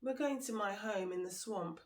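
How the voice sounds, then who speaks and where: A woman's voice: mid-range voice, moderate pace, close to an everyday voice. A woman voicing a scheming male crocodile in a folk tale, speaking with reluctant, guilty apology. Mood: guilty, confessing.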